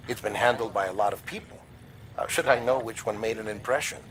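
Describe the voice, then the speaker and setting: A man's voice: fairly low pitch, fast, fairly bright tone, slight background noise, low-quality recording. Chatty explanatory man. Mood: explanatory, slightly defensive. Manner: explanatory, casually wry. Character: chatty, evasive male medical expert briefing a colleague. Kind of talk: conversational video game cutscene acting.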